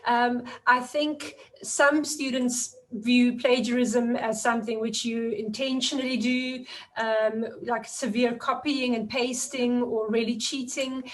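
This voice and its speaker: A woman in her forties, a guest lecturer speaking in a thoughtful, measured way with some fillers. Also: fairly high pitch, flat delivery, poor audio. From South Africa. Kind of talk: webinar discussion.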